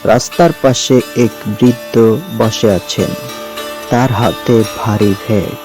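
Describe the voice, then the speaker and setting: A man's voice: mid-range voice, fairly even intonation, slow pace. A man giving scripted narration for a cartoon story. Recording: music behind the voice.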